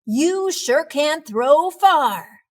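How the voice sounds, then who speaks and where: A woman's voice: high-pitched, animated, very slow, darkish tone, voice pushed up, full character voice. A woman voicing an eager, friendly young male cat in a storybook, enthusiastic and emphatic.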